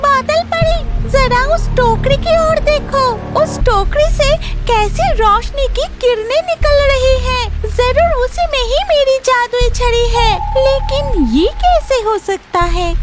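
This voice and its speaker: A woman voicing an excitable, urgent rain fairy in a cartoon story, full of exclamations. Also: extremely high pitch, takes it slowly, hardly any pauses, music in the background, pitched above the performer's own voice. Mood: astonished. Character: excitable female rain fairy seeking her magic wand. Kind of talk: Hindi cartoon story dialogue.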